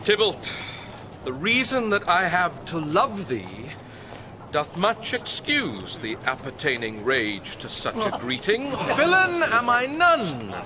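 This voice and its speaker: An earnest young lover, very high-pitched. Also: male voice, very animated, background noise, full character voice. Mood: conciliatory. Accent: British. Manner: measured, pleading, earnest. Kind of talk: Shakespearean radio drama acting.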